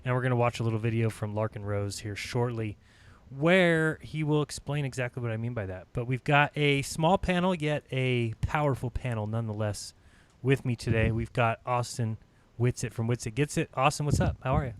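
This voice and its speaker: A man's voice: mid-range voice, moderate pace, clear, resonant tone, no echo. A man hosting a live online panel chat, upbeat and chatty, laughing often, and feeling like he is losing his voice. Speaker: host.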